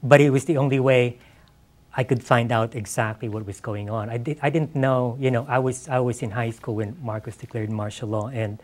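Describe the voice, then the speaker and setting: Male author, middle-aged, Filipino accent, medium pitch, halting conversational delivery in a stage interview. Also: steady tone. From Philippines. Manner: hesitant, repeats words as he searches. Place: on stage in an auditorium before an audience. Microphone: lapel mic.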